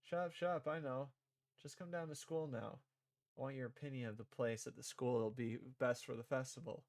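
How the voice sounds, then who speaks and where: A man's voice: mid-range voice, steady tone, fairly quick, darkish tone, dry recording. A man reading visual novel dialogue aloud and performing the parts, with casual asides as a streamer.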